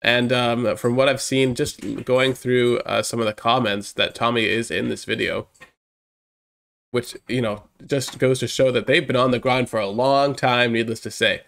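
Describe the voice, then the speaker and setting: A man's voice: mid-range voice, fairly even intonation, moderate pace, creaky, fairly bright tone. A young man with a stuffed-up, congested voice hosting a chatty reaction video from a small room on a headset mic. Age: young adult. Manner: chatty, hyped, rambling. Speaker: reaction channel host. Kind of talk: casual reaction commentary.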